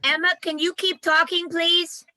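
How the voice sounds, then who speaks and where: High bright playful woman. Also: little pitch movement, moderate pace, no room sound, clean studio sound. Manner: playful, teasing. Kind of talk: casual chat.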